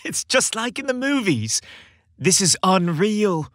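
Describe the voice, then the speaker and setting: A man's voice: high-pitched, very animated, takes it slowly, fairly bright tone, no room sound, studio quality, pitched above the performer's own voice, full character voice. A man voicing an earnest, awestruck young man in a holiday romance story, amazed and questioning.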